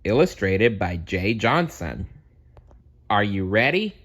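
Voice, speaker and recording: A man narrating a children's story aloud with expressive, deliberate phrasing. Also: fairly low pitch, dull audio. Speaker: storybook reader.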